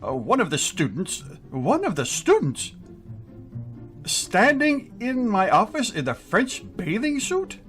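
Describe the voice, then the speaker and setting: Man playing a blustering, grouchy school principal, animated delivery. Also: high voice, slow pace, soft background music, exaggerated voice. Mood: exasperated, scolding. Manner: blustering, exasperated. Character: grouchy, flustered middle-aged male high school principal. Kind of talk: 1950s radio comedy acting.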